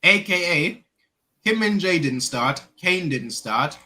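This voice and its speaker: A young man co-hosting a casual football fan chat, ranting and mocking players in quick bursts. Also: on the high side, expressive, bright, forceful tone. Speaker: fan channel co-host.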